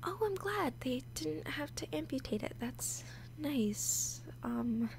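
A woman acting a halting, high-pitched lovesick girl. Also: expressive, gravelly, slight background noise, full character voice. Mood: confused, hesitant. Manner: halting, hesitant, breaking into whispers. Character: nervous, awkward, lovesick young woman, obsessive kidnapper girlfriend. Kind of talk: intimate audio roleplay addressed to the listener.

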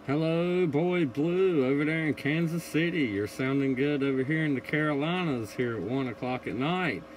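A man with a fairly high voice works a CB radio from an indoor radio room. He calls and replies in short operator phrases. Noticeable static and hiss run through the recording. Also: normal speed.

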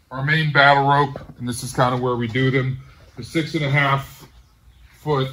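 A middle-aged man casually showing off his garage gym, talking in a relaxed, rambling way with many pauses. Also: mid-range voice, distant mic, rough recording. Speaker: home gym owner giving a tour. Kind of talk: casual walk-through tour. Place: large garage home gym.

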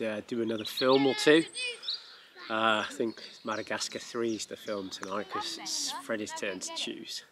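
A man in his thirties talking casually to a handheld camera while camping, relaxed and chatty with plenty of ums. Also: fairly low pitch. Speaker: family vlogger. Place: outdoors on a campsite field. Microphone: camera at arm's length. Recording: noisy outdoor recording.

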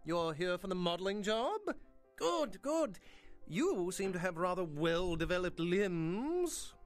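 A man's voice: high voice, very animated, slow pace, faint music behind, exaggerated voice. An actor voicing a creepy, obsessive male prosthetics maker in a video game, with a genteel, relishing, slyly unsettling way of talking. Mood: eerie cordial. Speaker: game character.

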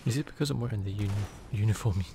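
Low, creaky soft-spoken male ASMR gamer. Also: very fast, noisy background. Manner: dry, understated, mildly sweary. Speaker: gaming video host. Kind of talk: ASMR video game commentary, soft-spoken, often whispering.

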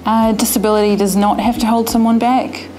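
A woman with a New Zealand accent speaks in a relaxed, plain-spoken way, with the odd "uh" and "um". Music sits clearly under her voice. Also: a little high, a little fast, rough recording. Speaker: fitness trainer. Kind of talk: interview.

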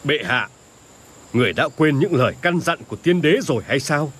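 An actor playing a stern, upright old court tutor in an animated historical drama, grave, formal and reproachful. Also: male voice, fairly high pitch, fairly quick, breathy edge.

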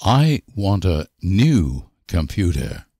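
A man reading short example sentences for language learners with deliberate, careful enunciation. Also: sits low, big pitch swings, mellow, husky, no echo, clean studio sound. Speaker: language teacher.

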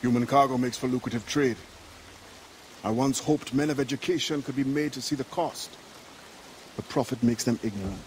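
An actor playing a dignified warrior of a secret brotherhood, speaking formally and with measure in a game cutscene. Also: male voice, medium pitch, moderate pace, pauses often, a little mellow, slight background noise, natural voice. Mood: grave, dry. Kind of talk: video game cutscene acting.

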